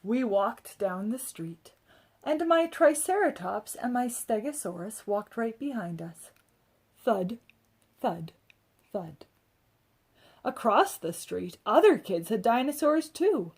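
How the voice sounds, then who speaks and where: A woman's voice: fairly high pitch, sing-song, takes it slowly, darkish tone, breathy edge. A woman reading a children's story aloud with expression. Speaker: storybook reader.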